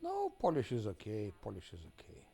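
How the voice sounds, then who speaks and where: A man from Ukraine, a game streamer giving casual live commentary, often halting as he searches for words in English. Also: lowish voice, wildly swooping pitch, vocal fry, dark tone, breathy edge.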